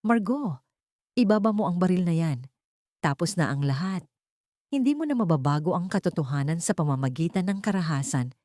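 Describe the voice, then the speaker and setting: A woman's voice: fairly low pitch, expressive, a little mellow, no room sound, studio quality, close to an everyday voice. Woman acting a firm, protective widowed father. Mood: firm, calm. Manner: firm, measured, self-sacrificing. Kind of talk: Tagalog audio drama acting.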